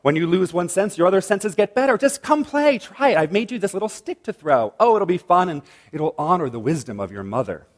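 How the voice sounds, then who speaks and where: A man's voice: high-pitched, sing-song, fairly quick, hardly any pauses, voice pushed up. A man voicing a sly, playful trickster god who coaxes and wheedles. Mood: coaxing.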